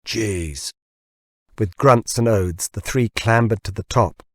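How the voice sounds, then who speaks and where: A man's voice: lowish voice, moderate pace, no echo, studio quality. A man narrating scripted fiction as an audiobook reader.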